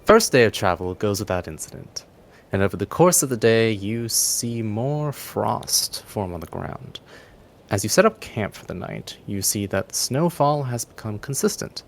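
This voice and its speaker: A man's voice: lowish voice, expressive, a little slow, vocal fry. A man narrating a tabletop fantasy game as game master.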